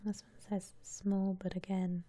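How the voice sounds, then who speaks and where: A woman whispering softly and breathily as she muses aloud through ASMR game commentary. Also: mid-range voice, monotone. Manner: unhurried, soft-spoken musing aloud. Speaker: host of an ASMR gaming channel.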